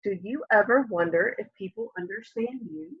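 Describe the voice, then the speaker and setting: A young woman reading a children's picture book aloud in an expressive way, often putting questions to young listeners. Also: mid-range voice, normal speed, breathy edge. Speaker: storybook reader. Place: room at home, indoors. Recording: dull, lo-fi home webcam audio.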